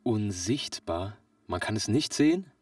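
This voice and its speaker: Male actor as naive radio-play customer: lowish voice, wide-eyed, prone to loud astonishment, close dry sound. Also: animated, measured pace, no room sound, distant mic. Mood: astonished. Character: naive, excitable, easily amazed male customer. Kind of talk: comic sketch audio play.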